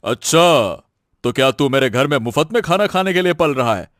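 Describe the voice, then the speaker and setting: A man playing a bossy male farmer in an animated moral story, scolding and giving orders in a gruff way. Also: medium pitch, normal speed, no echo, studio quality, close to an everyday voice. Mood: angry scolding.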